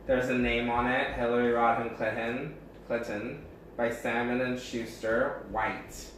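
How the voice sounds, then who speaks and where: A man's voice: medium pitch, flat delivery, unhurried, a bit bright. A man co-hosting a casual home book club video with his sister, joking crudely and swearing often. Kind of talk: casual sibling banter. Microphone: camera mic at a distance. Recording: echoey room, distant mic, faint noise.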